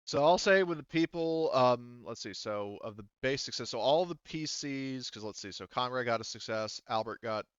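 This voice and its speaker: A man improvising as game master of a tabletop game, thinking aloud in a halting, casually profane way. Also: mid-range voice, lively intonation, clean tone, dry recording, highs cut off.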